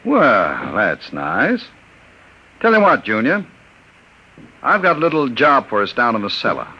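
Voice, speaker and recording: An actor playing a scheming male crook in a 1950s radio drama, with smooth, falsely kindly talk. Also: medium pitch, huge pitch swings, measured pace, exaggerated voice. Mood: patronizing. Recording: dull, noisy old radio broadcast.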